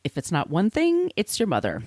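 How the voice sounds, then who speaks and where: A woman's voice: fairly low pitch, wildly swooping pitch, fairly quick, a little mellow, dry recording, clean studio sound. A woman hosting a podcast, reading scripted narration with casual, irreverent asides. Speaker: podcast host.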